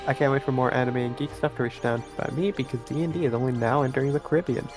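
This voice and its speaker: A man's voice: mid-range voice, steady tone, racing, soft background music. A man from Trinidad with a mixed Trinidadian and New York accent, chatting casually on a stream and laughing often. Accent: Trinidadian and New York mix.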